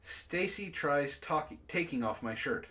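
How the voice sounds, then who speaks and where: A man's voice: a little high, lively intonation, unhurried, sharp tone. A man hosting a read-aloud, narrating casually and breaking off for sardonic, profane asides. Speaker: book club host reading a story aloud with commentary.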